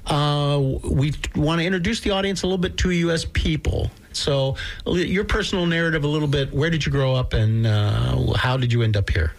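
A man hosting a community radio show, who interviews his guests with enthusiasm, makes puns and speaks warmly. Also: a little high, fairly even intonation, brisk, vocal fry, hardly any pauses, poor audio. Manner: warm, punning, enthusiastic interviewer.

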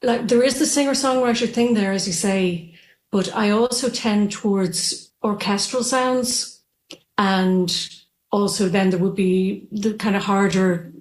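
A woman's voice: medium pitch, unhurried. Irish female musician, 40s, easygoing with lots of fillers, roomy remote call sound. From Ireland. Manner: relaxed, laughing lightly, with many fillers. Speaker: musician guest. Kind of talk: remote interview. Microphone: video call. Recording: echoey room over video call.

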